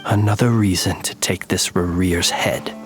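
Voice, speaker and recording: An actor playing a composed, courteous, mysterious military man in a video game dub, speaking in a measured, formal and eloquent way. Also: deep voice, fairly even intonation, faint music behind, natural voice. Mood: somber, reflective.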